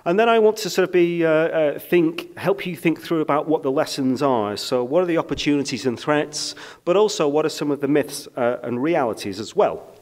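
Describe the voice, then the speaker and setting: A man's voice: on the high side, moderate pace, darkish tone. A British man giving a conference keynote speech on a stage, talking with frequent ums and uhs and a self-deprecating touch. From United Kingdom. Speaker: keynote speaker. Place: conference stage under lights.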